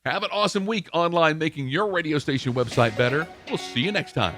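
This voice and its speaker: A man's voice: a little high, animated, brisk, pushed and bright, soft background music. A man presenting an instructional podcast as its host, explaining things step by step and addressing the listener directly.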